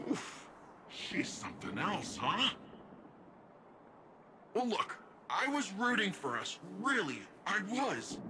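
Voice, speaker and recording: An actor playing a cheerful, cocky young cyborg hero in a video game, with a breezy, slangy, joking delivery. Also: male voice, on the high side, noisy background.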